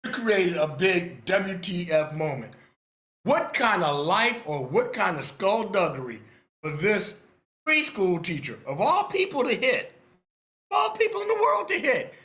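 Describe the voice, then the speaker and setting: A man giving animated, informal feedback on a screenplay, theatrical and emphatic, repeating phrases for effect. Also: high voice, unhurried, echoey room. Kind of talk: animated, informal script critique. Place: online video meeting.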